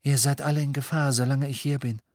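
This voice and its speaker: A man voicing a tormented teenage boy in an audiobook, tense and flat. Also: mid-range voice, a little fast, no room sound, clean studio sound, voice pushed up, lightly acted.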